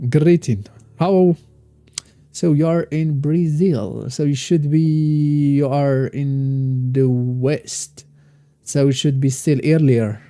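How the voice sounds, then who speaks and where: Guy streaming a game, chatting with chat in halting english, deep mellow voice, dry sound. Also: no room sound. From Morocco. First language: Moroccan Arabic. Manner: thinks aloud, chats with viewers, halting non-native phrasing. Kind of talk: casual live-stream gameplay commentary.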